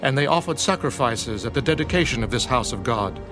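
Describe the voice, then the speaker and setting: A man giving a measured, formal scripture narration in a dramatised audiobook. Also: medium pitch, lively intonation, over music.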